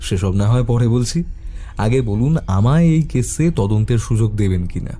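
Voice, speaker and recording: A man voicing a calm, courteous private detective in an audio story, measured and quietly probing. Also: mid-range voice, faint music behind, close to an everyday voice. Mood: polite, focused. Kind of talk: audio story character acting.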